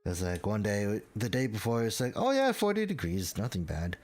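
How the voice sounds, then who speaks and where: A young man with a very deep, low voice and a stuffy, congested nose. He rambles casually and self-deprecatingly, full of likes and ums, as he works through a model build at his desk mic. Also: big pitch swings, normal speed, darkish tone. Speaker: model-kit building vlogger. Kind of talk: casual chat while building a model kit.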